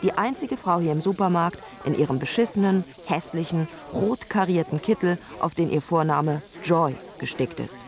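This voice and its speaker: A woman's voice: on the low side, expressive, moderate pace, few pauses. A woman narrating a scripted radio drama in an emphatic way.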